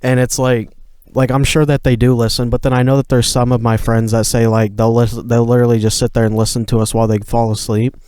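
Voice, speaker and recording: A man's voice: mid-range voice, little pitch movement, quick pace, hardly any pauses, mellow, smooth, no room sound, clean studio sound. A tired man co-hosting a casual podcast, rambling and laid-back, swearing freely and leaning on "like" as filler. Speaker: podcast co-host.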